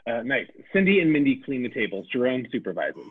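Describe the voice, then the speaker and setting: A man's voice: medium pitch, big pitch swings, fairly quick, slightly rough. A man reading the stage directions at a comedy table read, amused and laughing between lines. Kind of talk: reading a comedy script aloud. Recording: tinny phone-like sound.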